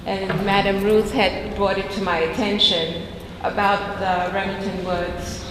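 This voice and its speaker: A woman's voice: medium pitch, flat delivery, unhurried. Woman from Costa Rica at a council mic, rambling and sincere, big reverberant room with hiss. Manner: heartfelt, rambling, with restarts. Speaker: resident speaking at public comment. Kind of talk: public comment at a council meeting. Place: large council chamber. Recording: echoey hall with noise.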